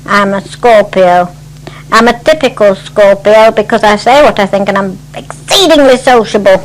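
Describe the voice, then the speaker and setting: A woman's voice: medium pitch, normal speed, poor audio. A very elderly woman from northern England with an English accent, introducing herself in a forthright way. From Manchester, England. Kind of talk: self-introduction.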